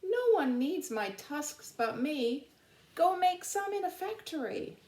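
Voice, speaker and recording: A woman voicing an enormous, proud elephant in slow, deliberate sing-song storybook verse. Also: high voice, very animated, darkish tone, pitched above the performer's own voice, heavily acted. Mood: proud, pleading. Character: enormous proud elephant pleading to keep his tusks. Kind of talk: children's picture-book poem reading. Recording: roomy, echoing sound.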